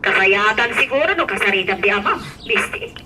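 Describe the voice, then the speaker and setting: Middle-aged Filipino aunt, earnest and burdened, radio drama, off-mic with clear noise. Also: female voice, medium pitch, lively intonation, fairly quick, pushed and bright, recorded from a distance, low-quality recording. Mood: grave, explaining.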